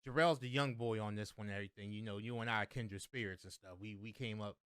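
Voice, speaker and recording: A man in his fifties co-hosting a podcast, asking relaxed, easygoing questions. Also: on the low side, quick pace, a crowd in the background. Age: 50s. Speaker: podcast co-host. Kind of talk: casual podcast chat.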